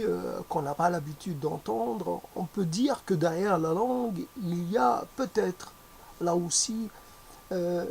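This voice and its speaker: A non-native French speaker thinks aloud in a slow, gravelly voice with a lot of vocal fry. The delivery is halting, full of pauses, fillers and restarts while searching for words. The tone is reflective, like musing in an interview. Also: mid-range voice, a little mellow, slight background noise. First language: not French.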